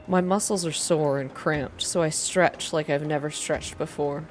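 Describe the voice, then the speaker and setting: A woman reading first-person story narration aloud in a soft, hushed, measured ASMR style. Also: deep voice, steady tone, soft background music. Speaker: narrator reading a visual novel game aloud.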